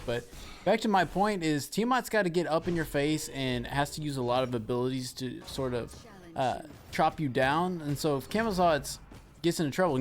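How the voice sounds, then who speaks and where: A man's voice: mid-range voice, a little hiss or hum. A man giving live esports commentary and analysis as a co-caster. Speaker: esports shoutcaster.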